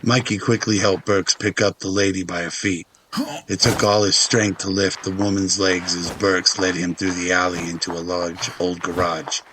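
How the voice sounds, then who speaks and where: A man narrates a scripted drama in a deep voice with a bright, forceful edge. His delivery is dramatic storytelling, recorded with a faint layer of noise. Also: little pitch movement, normal speed, poor audio.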